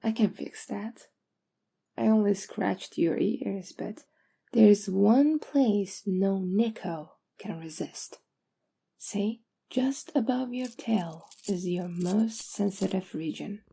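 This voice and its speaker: A woman playing a possessive, coaxing owner doting on a captive pet in an intimate, often whispered ASMR roleplay, slow, teasing and condescending. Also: mid-range voice, gravelly, dark tone, slightly rough, heavily acted. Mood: doting, domineering.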